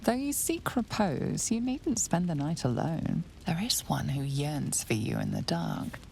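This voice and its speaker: Very low, creaky hushed fantasy narrator. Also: female voice, huge pitch swings, measured pace, slight background noise, exaggerated voice. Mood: hushed, seductive. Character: hushed, intimate, knowing storybook narrator of fantasy adventure. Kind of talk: scripted second-person game narration.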